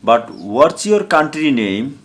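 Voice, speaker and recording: A man with a Bangladeshi accent chatting casually in halting, simple learner's English on an online video call. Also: fairly high pitch, big pitch swings, fairly bright tone. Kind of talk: casual English practice conversation. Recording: clear background noise, online call.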